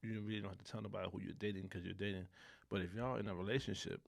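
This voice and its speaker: A man's voice: on the low side, fairly even intonation, racing, vocal fry, no room sound, clean studio sound. A man co-hosting a podcast, teasing and laid-back, laughing often. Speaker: podcast co-host. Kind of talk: casual chat.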